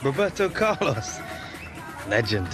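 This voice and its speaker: An actor playing an easygoing, dreamy young British footballer in casual video game dialogue. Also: male voice, medium pitch, sing-song, measured pace, a crowd in the background. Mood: wistful. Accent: British English. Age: young adult. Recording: loud music over the dialogue.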